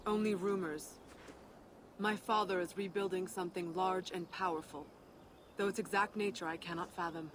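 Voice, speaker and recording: A woman's voice: on the low side, steady tone, moderate pace, noisy background, close to an everyday voice. A woman voicing a sly, haughty fire giantess princess in a video game, formal and imperious. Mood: secretive. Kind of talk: video game character acting.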